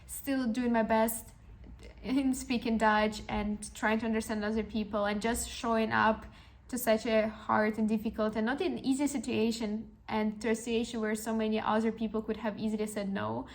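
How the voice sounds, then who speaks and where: Young woman podcaster, kinda high voice with lots of vocal fry, earnest and encouraging. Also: flat delivery, normal speed. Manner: earnest and encouraging, with frequent restarts. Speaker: podcast host. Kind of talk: reflective personal storytelling monologue.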